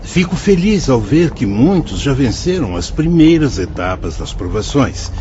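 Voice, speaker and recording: A wise male spirit guide with a Brazilian Portuguese accent, speaking in measured, patient explanations in a radio drama. His pitch rises and falls in a lively way. The recording sounds dull and muffled. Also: slight background noise. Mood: patient, instructive. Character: calm, wise adult male spirit instructor.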